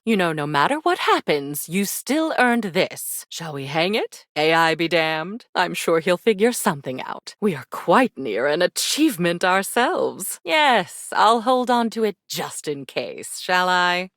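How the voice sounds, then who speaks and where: A woman's voice: fairly low pitch, a bit bright, no room sound, studio quality, full character voice. A woman playing an arch, darkly elegant embodiment of memories in a video game, drawling and teasing with endearments. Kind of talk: video game character acting.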